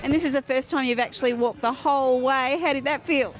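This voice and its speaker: A woman's voice: high-pitched, fairly even intonation, brisk. A woman field reporter with an Australian accent doing upbeat interviews outdoors at a park event. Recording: outdoor event with busy crowd noise.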